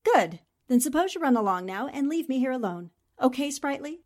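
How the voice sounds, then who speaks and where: A woman's voice: sits high, sing-song, brisk, a little mellow. A woman playing a shrewd, confident newspaper reporter with poised, theatrical delivery in a 1940s-style radio drama. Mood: brisk, wry. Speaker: voice actor.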